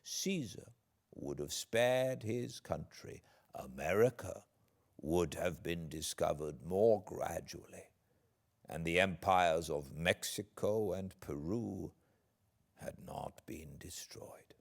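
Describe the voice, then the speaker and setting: A British man, an audiobook narrator, reading classic literature aloud in a measured, expressive, dramatic way. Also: low voice, vocal fry. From Britain.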